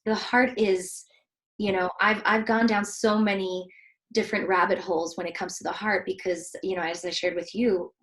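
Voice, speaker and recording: A woman's voice: mid-range voice, fairly even intonation, moderate pace, low-quality recording. A woman from Switzerland, a heart coach hosting a casual interview, speaking earnestly and reflectively with many fillers. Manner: earnest, reflective, soft-spoken with frequent fillers.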